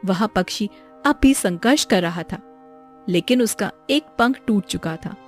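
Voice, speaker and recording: A woman's voice: mid-range voice, expressive, a little slow. A woman reading a scripted moral story for children as its narrator. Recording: music behind the voice. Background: background music.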